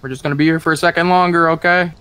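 A man's voice: on the high side, fairly even intonation, racing, a bit bright, clean tone. A man playing a police officer in improvised online game role-play, talking casually.

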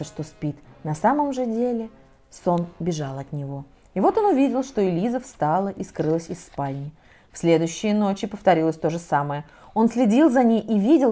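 A middle-aged woman reading a fairy tale aloud to children in a cozy studio, dramatic with wide swings in pitch and loudness. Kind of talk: expressive storybook reading for children. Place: cozy indoor studio set with armchair and fireplace.

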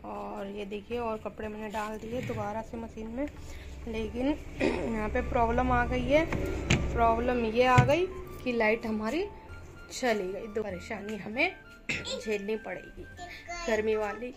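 A young woman with a Rajasthani Hindi accent chats to her viewers in a slightly high voice while doing chores. She is recorded on a phone in an outdoor village courtyard. Background music plays clearly under her voice. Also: steady tone, a little slow. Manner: chatty, addresses viewers directly. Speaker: vlogger. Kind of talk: casual vlog narration.

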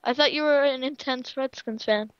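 A woman's voice: high voice, moderate pace, clean tone, lo-fi sound. A young woman playfully clowning and chatting casually with a friend while gaming. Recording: distant, noisy voice with clicks.

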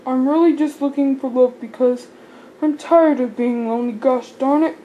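A woman's voice: sits high, fairly even intonation, moderate pace, lo-fi sound, slight background noise, lightly acted. A young woman voicing a nervous, shy male doll in his twenties, talking in an anxious, plaintive way.

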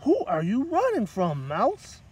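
An inquisitive storybook animal, voiced by a man, calls out loudly. The voice is high and lively, picked up from some distance. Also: measured pace, breathy edge, full character voice. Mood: curious, surprised. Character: curious animal bystanders questioning a fleeing mouse. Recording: distant microphone, light outdoor noise.

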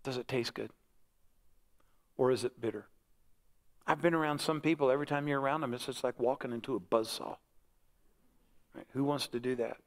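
A man's voice: mid-range voice, fairly quick, long pauses. An American man in his sixties preaching as a pastor in a conversational, folksy way, with short repeated phrases. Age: 60s. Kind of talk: conversational sermon and altar call. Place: large church sanctuary, on stage before congregation.

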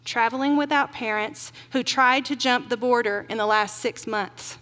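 A middle-aged woman giving an earnest keynote sermon from a podium on a large auditorium stage, slowing down to stress grim facts. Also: on the high side, pushed and bright. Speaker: keynote speaker. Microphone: podium mic. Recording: long hall reverb.

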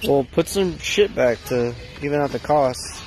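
A young man with an unhurried drawl cracks jokes and teases his friend as he films on a handheld phone. He sounds recorded inside a big store, with a faint hum of shoppers and ambience behind him. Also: medium pitch, lively intonation. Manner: wisecracking, teasing, laughs often. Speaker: vlogger filming. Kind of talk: casual joking commentary. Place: large indoor fireworks store. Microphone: handheld phone camera.

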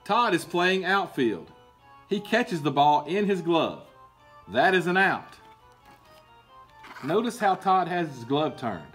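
A middle-aged man reading a picture book aloud to children as a coach, explaining in a deliberate, emphatic, instructive way. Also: a little high, big pitch swings, a bit bright, soft background music. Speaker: baseball coach and PE teacher. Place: indoor school room with book shelves.

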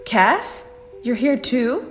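Woman doing kindly old granny ghost in audio drama, highish and very animated. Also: drawn-out words, soft background music, exaggerated voice. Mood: consoling, eager. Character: kindly, plain-spoken old ghost grandmother.

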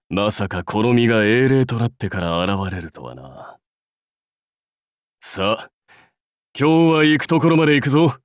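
A male actor plays a proud warlord from a fantasy game, devoted to his clan. He speaks curtly and with complete self-assurance, as one used to command. The pitch is average for a man, and the sound is dry and close. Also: no room sound, lightly acted. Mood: proud, assured. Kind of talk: Japanese mobile game character lines.

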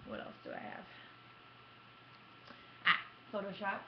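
A woman's voice: medium pitch, very animated, a little slow, gravelly. Muffled young woman vlogger, casual chat. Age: young adult. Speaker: gaming vlogger. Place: small room at home, indoors. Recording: muffled lo-fi webcam audio, background noise.

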